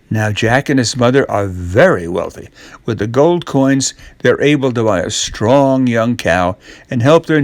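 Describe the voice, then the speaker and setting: A man narrating a scripted children's story, reading aloud in a measured, expressive way. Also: mid-range voice, no room sound.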